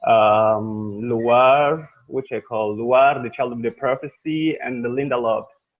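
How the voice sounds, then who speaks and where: A man's voice: mid-range voice, takes it slowly. A man from Mozambique who is not a native English speaker eagerly introduces himself on a video call, repeating his phrases. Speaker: new meeting participant. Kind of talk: casual self-introduction. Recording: tinny phone-like call audio.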